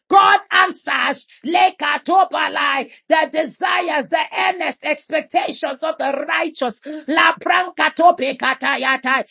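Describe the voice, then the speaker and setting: A woman leading a fervent prayer, shouting repeated declarations and speaking in tongues. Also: high-pitched, gravelly. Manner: chanting, repetitive, declaring. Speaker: prayer leader.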